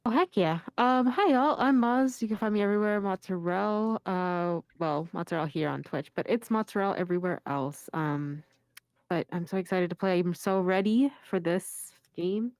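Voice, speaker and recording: A woman's voice: medium pitch, moderate pace, no echo. Eager, rambling woman player. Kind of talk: casual self-introduction.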